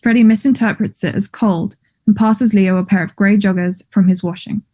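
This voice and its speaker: A woman reading stage directions as scripted narration in a measured, neutral manner. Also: mid-range voice, steady tone, mellow.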